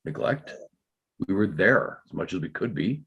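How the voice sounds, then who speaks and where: A man's voice: sits low, big pitch swings, normal speed, gravelly, slightly rough, lo-fi sound, full character voice. A man playing a defensive, guilt-ridden father in a dramatic script read, terse and insistent. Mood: defensive, remorseful.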